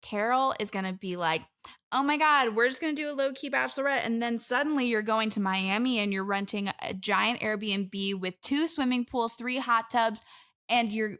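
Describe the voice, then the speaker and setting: A woman's voice: a little high, normal speed, a bit bright, close to an everyday voice. A woman playing a needy, passive-aggressive young bridesmaid with a girlish lilt, gushing and insistent in an improvised comedy interview. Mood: exasperated. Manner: gushing, insistent, over-cheerful denials. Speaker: podcast guest.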